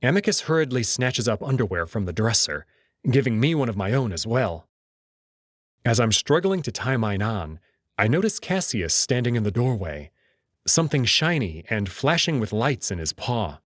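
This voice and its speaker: A man's voice: medium pitch, very animated, normal speed, dry recording, clean studio sound. A man narrating a visual novel as a scripted, dramatic read-aloud. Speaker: host and narrator.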